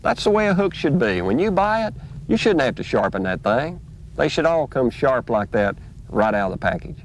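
A middle-aged man, folksy and drawling, hosting a fishing show and teaching while he fishes from a bass boat on a lake. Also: moderate pace. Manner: folksy, drawling, excited when a fish bites. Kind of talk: casual outdoor instruction while fishing. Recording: noisy outdoor boat audio, 1990s tape.

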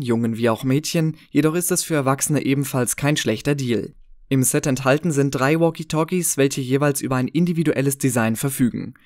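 A man delivers scripted review narration. His voice sits at an average pitch. The sound is dry and close, as in a voice-over for a product comparison. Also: a little mellow, dry recording.

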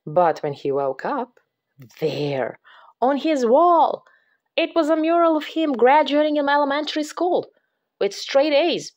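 A woman reading a picture book aloud to children, dramatic and expressive, dropping into hushed whispers at the creepy parts. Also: high voice, unhurried, lo-fi sound. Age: adult. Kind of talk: expressive read-aloud storytelling.

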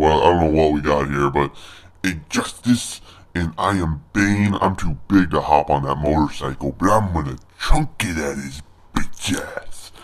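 Man with a deep pitched-down monster voice. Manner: joking, boastful, playful trash talk. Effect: pitched-down monster voice filter. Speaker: gamer. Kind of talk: let's play commentary.

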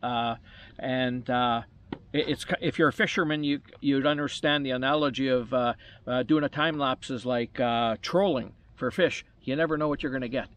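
A man in his sixties from Canada, co-hosting a travel vlog, joking and laughing, halting with many "uh"s, sitting outdoors by a camper van with the camera a few meters away. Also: medium pitch, a bit bright. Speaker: vlog co-host. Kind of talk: casual chat.

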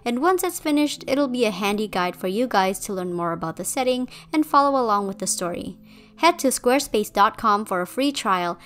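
A woman's voice: medium pitch, fairly bright tone. Young woman from Indonesia, video essay voice-over, conversational and opinionated. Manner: conversational, opinionated, a bit wry. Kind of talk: scripted commentary voice-over.

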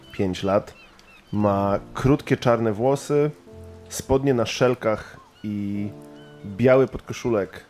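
Male tabletop player, lowish voice, hesitant, faint music bed. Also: a little mellow. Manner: thoughtful, with hesitant fillers. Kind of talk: describing his character.

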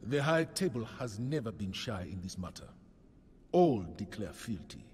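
A man playing a composed, formal middle-aged hotel concierge in a video game, speaking in a measured, precise way. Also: fairly low pitch, very animated, darkish tone, slight background noise. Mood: grave, composed. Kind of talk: video game dramatic dialogue.

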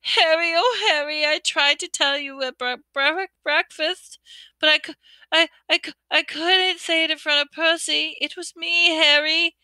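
A woman's voice: sits high, fairly even intonation, a little slow, bright, forceful tone, no echo, pitched above the performer's own voice, full character voice. Woman doing a sobbing, stammering young schoolgirl. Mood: tearful, panicked. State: crying. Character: tearful frightened young schoolgirl.

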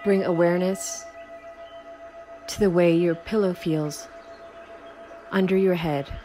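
A woman guiding a sleep meditation in a slow, soothing, unhurried way with long pauses. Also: on the low side, fairly even intonation, warm tone, soft background music. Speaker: meditation guide. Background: Tibetan singing bowl tones.

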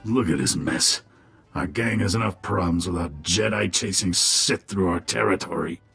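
Husky, gravelly alien gangster lieutenant. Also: male voice, sits low, normal speed, fairly bright tone, no room sound, faint music behind, heavily acted. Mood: businesslike, grim. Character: shady alien male gangster lieutenant running a desert club. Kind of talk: video game dialogue acting.

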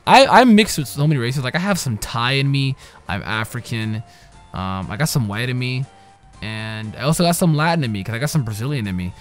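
A young man giving casual, profane let's-play commentary, trash-talking the characters and breaking into sudden loud yells. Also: medium pitch, lively intonation, brisk. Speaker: gaming channel host. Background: game music.

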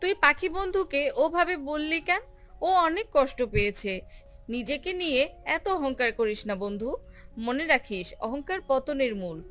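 A woman voicing a humble, kindly young green mango in a children's cartoon, reasoning gently. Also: high-pitched, animated, measured pace. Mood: reproachful. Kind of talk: animated children's fairy tale acting. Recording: music under the voice.

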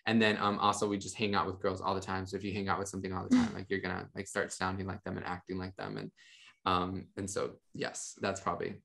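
A young man on a video call, a podcast guest talking about his ministry in a relaxed, rambling way with many fillers. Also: low voice, fast talker, gravelly. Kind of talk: casual interview talk. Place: home room with monitors. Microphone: studio mic on a video call.

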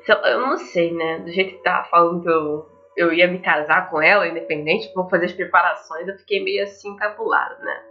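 A woman's voice: on the low side, fairly even intonation, brisk, hardly any pauses. A young woman with a Brazilian accent casually commenting on a visual novel as she plays. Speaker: gaming video creator. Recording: dull lo-fi mic, music behind.

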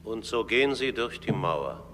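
An actor playing a solemn narrator of an underworld trial in a formal, measured radio play style. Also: male voice, on the low side, very animated, noisy background. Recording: long hall reverb.

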